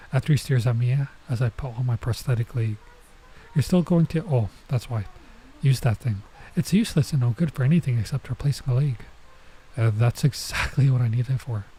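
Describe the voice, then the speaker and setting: A man's voice: mid-range voice, normal speed, dark tone, husky, low-quality recording. A man doing a let's play, reading a visual novel aloud and breaking off for casual side comments. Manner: stops to remark on and reread the text.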